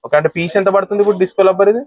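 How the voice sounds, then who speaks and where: Fast-talking vlogger echoing prices. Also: male voice, high-pitched, fairly even intonation, a little mellow. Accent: Telugu, Hyderabad. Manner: asks short questions, repeats answers back, says "okay" often. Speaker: vlogger interviewing a shopkeeper. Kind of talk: shop tour questions.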